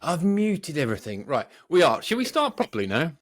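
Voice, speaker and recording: A man's voice: fairly high pitch, wildly swooping pitch, a little fast. A British man co-hosting a podcast with unscripted, casual banter in a jokey, self-deprecating way. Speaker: podcast co-host.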